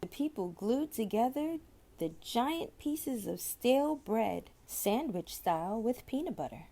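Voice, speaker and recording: A woman reading a children's story aloud, with deliberate, expressive phrasing and drawn-out words. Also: a little high, vocal fry. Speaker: storybook reader.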